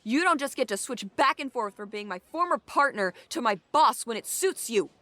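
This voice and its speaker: A woman's voice: on the high side, expressive, normal speed, sharp tone, dry recording. A woman acting as a defiant, hot-tempered police captain in an audio drama, intense and emotional. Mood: furious.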